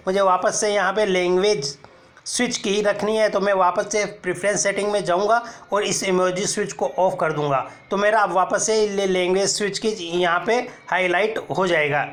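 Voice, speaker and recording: A man's voice: high voice, a bit bright. A man explaining phone keyboard settings step by step, as a tutorial presenter who keeps calling viewers friends and pointing out each screen. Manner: step-by-step, addresses viewers as friends, repeats "look here". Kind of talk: screen-recorded how-to explanation.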